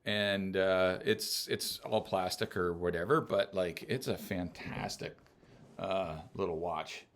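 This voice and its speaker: Male podcast host, lowish pitch, bright edge; playful, teasing, restarts a lot. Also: takes it slowly, slight background noise. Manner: joking, teasing, laughs often. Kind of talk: casual chat.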